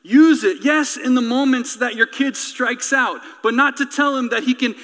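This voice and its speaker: A man's voice: very high-pitched, moderate pace, a bit bright. A man preaching an impassioned sermon with emphatic, rhetorical, repetitive phrasing. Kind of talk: impassioned sermon with repeated phrases, closing in prayer. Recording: reverberant hall sound.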